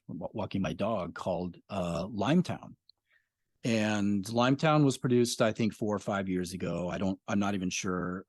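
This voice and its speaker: A man's voice: low-pitched, a little mellow, no room sound, studio quality. A man in his fifties, a podcast creator talking as a guest in a casual interview, rambling with many fillers.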